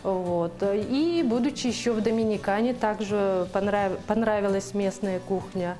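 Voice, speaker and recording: A woman's voice: medium pitch, a little slow, dark tone. A young woman from Yakutia, a guest on a TV cooking show, chatting casually and a little hesitantly with many fillers. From Yakutia, Russia. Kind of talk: casual chat while cooking. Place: TV studio kitchen. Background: music.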